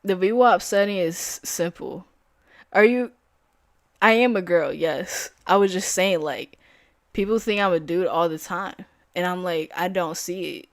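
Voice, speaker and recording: A woman's voice: lowish voice, unhurried, dry recording, clean studio sound. Casual young woman day trader. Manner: casual, filler-heavy, slangy. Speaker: day trader streaming live. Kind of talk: casual live-stream chat.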